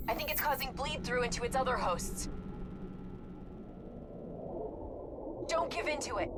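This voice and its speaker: A woman playing a focused tactical operator, giving brisk, serious mission support in a video game. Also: fairly high pitch, very noisy, natural voice. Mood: concerned. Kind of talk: dramatic video game dialogue, tactical briefing. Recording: loud music over dialogue.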